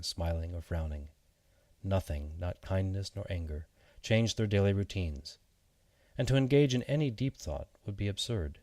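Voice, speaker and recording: A man narrating a children's fairy tale from a script, with measured, deliberate and expressive phrasing. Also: fairly low pitch, no echo. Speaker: audiobook narrator.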